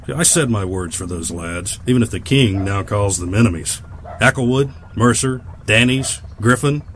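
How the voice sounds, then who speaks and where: A weary old pilot turned farmer acted by a man in a radio drama, with a low voice and plain, folksy talk. He is proud, loyal and bitter, brooding over his words. There is steady noise behind him. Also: close to an everyday voice. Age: older. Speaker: voice actor. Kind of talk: audio drama acting.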